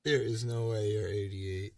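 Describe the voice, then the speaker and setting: A man's voice: lowish voice, steady tone, a little mellow, no room sound, recorded from a distance. A man who is a guest on a livestream, chatting casually and teasing with profanity. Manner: teasing, profane, slow-spoken. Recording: dry voice, heard from off the mic.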